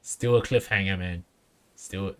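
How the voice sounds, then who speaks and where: A man livestreaming a video game, reading dialogue aloud haltingly and dropping in his own reactions. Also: sits low, steady tone. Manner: reads on-screen text aloud haltingly, interjects reactions. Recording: clearly noisy mic with clicks.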